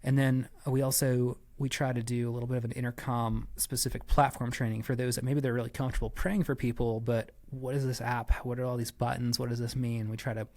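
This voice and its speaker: A man's voice: mid-range voice, little pitch movement, a little fast, hardly any pauses, darkish tone. A man giving conversational interview answers as a podcast guest, explaining things with frequent asides and qualifications. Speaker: church staff member, podcast guest.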